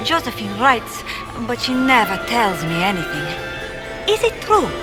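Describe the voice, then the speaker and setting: An actress playing an eager, nosy young noble sister who gossips in a fantasy video game. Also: female voice, a little high, big pitch swings, a little slow, music in the background. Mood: excited, prying.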